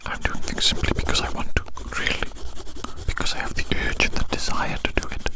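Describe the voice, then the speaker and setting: Male ASMR creator, fully whispered, intimate close mic, musing and repeating phrases, light scratching sounds alongside. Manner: whispers throughout, repeats phrases slowly and reflectively. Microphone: very close ASMR microphone. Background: scratchy drawing sounds.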